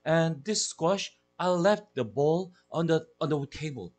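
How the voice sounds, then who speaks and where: A fretful middle-aged mother, voiced by a male audiobook reader, talks in a raised, anxious voice that swoops up and down. Her tone is husky and a little breathy. She sounds flustered and excitable. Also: a little slow. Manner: anxious, breathless, rising pitch.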